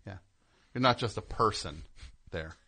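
A man's voice: lowish voice, measured pace, creaky, bright, forceful tone. A man chatting casually and comedically as a talk show host, riffing with mock-indignant asides.

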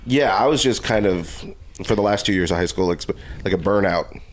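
A man's voice: low voice, brisk. A man guesting on a casual podcast, stuffy and nasal from a cold, talking in a dry, blunt, sardonic way. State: congested, under the weather. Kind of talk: casual chat.

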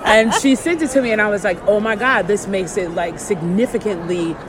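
A woman's voice: mid-range voice, brisk. A woman being interviewed as an author, telling stories casually and emphatically, acting out what people said. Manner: acts out quoted dialogue, emphatic. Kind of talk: animated casual storytelling. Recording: crowd chatter and clear urban background noise.

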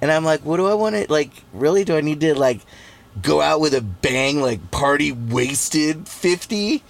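A man's voice: fairly high pitch, animated, normal speed, creaky. Laid-back man in his forties. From Southern California. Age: 40s. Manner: laid-back, rambling storyteller with fillers. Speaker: podcast guest. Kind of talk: casual chat.

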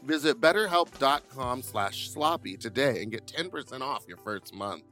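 A man speaks as a podcast co-host with crisp brightness and animated intonation. He keeps a casual, conversational style, with faint music underneath. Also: mid-range voice, normal speed. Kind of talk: casual chat and host-read ads.

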